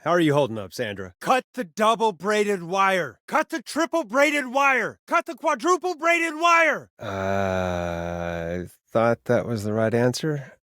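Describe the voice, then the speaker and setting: An actor playing a wry, jaded male radio phone-in host in a video game, sardonic and expressive, moving between showy patter and casual talk. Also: fairly high pitch, a little slow, no room sound, clean studio sound, full character voice. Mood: urgent, then sorrowful. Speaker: late-night radio host.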